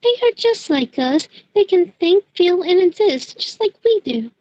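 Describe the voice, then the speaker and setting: A woman performs a kind teenage witch with a high voice, earnest and affectionate. The audio drama recording is close and dry, a little dull in tone. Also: animated, a little slow, darkish tone, no room sound. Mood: firm, earnest. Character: kind, sweet, principled teenage witch girl. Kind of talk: fan audio drama acting.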